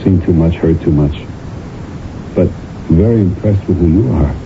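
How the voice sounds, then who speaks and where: A low male voice comes through a thin, noisy radio signal. a protective husband in a drama, halting and pleading, caught between tenderness and exasperation. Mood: gentle, concerned. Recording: tinny, hissy old radio broadcast.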